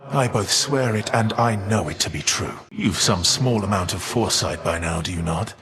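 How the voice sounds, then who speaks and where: An actor playing a proud, vengeful, defiant Titan of fire in a video game, speaking with grave, haughty, archaic formality. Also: male voice, lowish voice, lively intonation, moderate pace, fairly bright tone. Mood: vengeful menace. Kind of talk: dramatic video game villain voice acting. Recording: long reverb tail.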